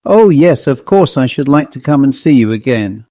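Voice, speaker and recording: A man voicing a polite, brisk lady of sixty, an antiques dealer, in a storybook reading. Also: medium pitch, lively intonation, warm tone, no room sound, lightly acted. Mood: delighted.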